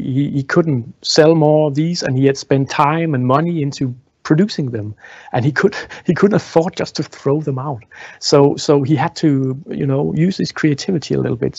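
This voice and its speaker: Halting man with a creaky voice. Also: medium pitch, darkish tone, no room sound, dull audio. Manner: halting, frequently repeats words while explaining. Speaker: museum tour guide. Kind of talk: informal guided tour talk, answering questions. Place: indoor museum exhibition room. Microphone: small clip-on lapel mic.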